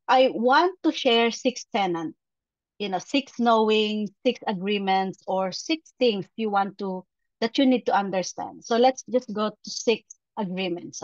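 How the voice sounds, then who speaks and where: A woman with a Filipino accent speaking as a performance coach in a motivational webinar, with deliberate, emphatic phrasing and repetitions over a video call. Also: mid-range voice, no echo. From Philippines. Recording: dull, lo-fi webinar audio.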